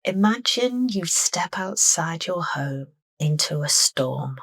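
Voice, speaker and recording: Female meditation narrator: low register, soft and deliberate, dry studio-like sound. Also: animated, no room sound. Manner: soft, unhurried, deliberate phrasing. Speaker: meditation guide.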